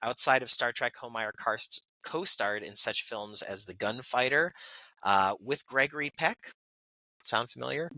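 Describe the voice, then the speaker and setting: A man's voice: mid-range voice, a little slow, pushed and bright, no room sound, low-quality recording. Chatty man reading birthday notes. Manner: chatty, reads lists with asides and fillers. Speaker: podcast co-host. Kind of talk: casual birthday segment reading.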